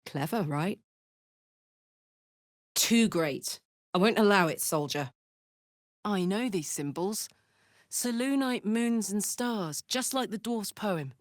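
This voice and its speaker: A woman's voice: medium pitch, expressive, slow, pauses often, no room sound. Tough tiefling fighter gal for a game, blunt but big-hearted, takes her time, dry close sound. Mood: guarded. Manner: blunt, earthy, informal soldierly talk. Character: brash, warm-hearted tiefling warrior woman with a burning engine. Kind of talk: video game character voice acting.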